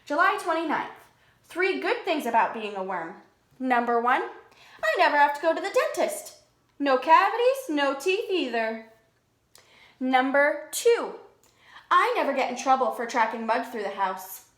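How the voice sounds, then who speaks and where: Woman reading as slow-talking young worm boy, echoey room. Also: high-pitched, very animated, a bit bright, heavily acted. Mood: pleased. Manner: slow, simple, storybook delivery. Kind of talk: children's picture-book read-aloud.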